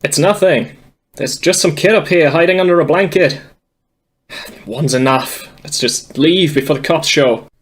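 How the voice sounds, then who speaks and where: Man acting an impatient higher-voiced intruder. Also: unhurried, natural voice. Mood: curt, impatient. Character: male home intruder, impatient criminal. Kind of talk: amateur audio drama voice acting.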